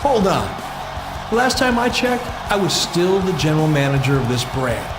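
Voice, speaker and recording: A man's voice: on the high side, sing-song, normal speed. A man playing a stern, commanding general manager making formal rulings in a wrestling video game. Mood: commanding, indignant. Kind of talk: arena announcement in a wrestling game cutscene. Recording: echoey arena microphone, loud music behind.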